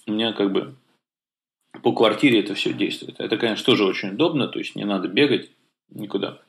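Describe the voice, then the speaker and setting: A man co-hosting a casual tech podcast, talking with many fillers and restarts. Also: lowish voice. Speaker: podcast co-host.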